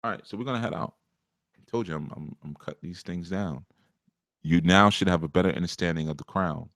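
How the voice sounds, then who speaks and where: Deep-voiced man teaching in a dry room. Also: brisk, a bit bright, no echo. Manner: conversational, rambling, with many asides and chuckles. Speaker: spiritual teacher hosting a live show. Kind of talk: casual spiritual teaching.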